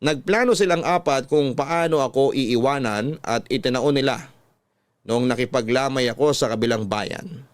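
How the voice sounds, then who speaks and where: A man's voice: medium pitch, normal speed, no room sound. A man with a Filipino accent reading a dramatic first-person story as a radio storyteller.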